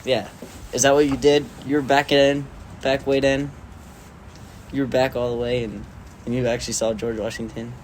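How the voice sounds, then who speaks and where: A man's voice: mid-range voice, flat delivery, moderate pace, pauses often. A young man co-hosting a casual podcast chat, rambling with lots of "like" and breaking into giggles. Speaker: podcast co-host. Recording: noisy background.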